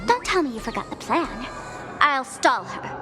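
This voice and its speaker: A taunting, theatrical villain streamer. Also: female voice, sits high, sing-song, moderate pace. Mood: scornful. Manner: teasing, theatrical, mocking. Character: playful, taunting young female streamer villain. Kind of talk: video game voice acting. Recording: game audio with music underneath.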